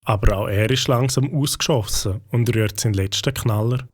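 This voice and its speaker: A man's voice: medium pitch, moderate pace, no echo, studio quality, close to an everyday voice. A man with a Swiss German accent narrating a scripted children's story.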